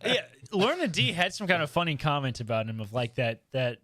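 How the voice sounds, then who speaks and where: A man's voice: fairly high pitch, big pitch swings, fairly quick, bright, forceful tone. A man chatting casually as a panelist in a podcast roundtable.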